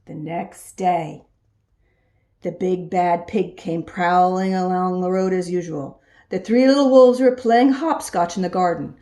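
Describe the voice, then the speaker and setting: A woman reading a children's storybook aloud in an expressive storytelling style. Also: lowish voice, a little slow. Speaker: storybook reader. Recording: echoey room.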